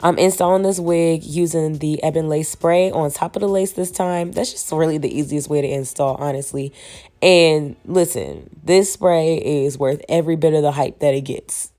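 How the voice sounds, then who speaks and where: A young woman, hoarse from a cold, chatting casually to viewers as she walks through a wig install. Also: deep voice, moderate pace, dark tone. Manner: casual and chatty, slangy, addresses viewers as y'all. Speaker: beauty vlogger. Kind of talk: casual wig tutorial chat.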